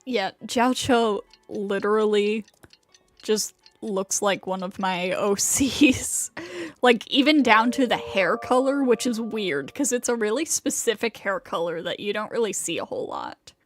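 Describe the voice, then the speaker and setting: A woman's voice: mid-range voice, lively intonation, unhurried, no echo. A young adult woman chatting casually as a game streamer, rambling and hesitant, with game music behind her. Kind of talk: casual livestream chat.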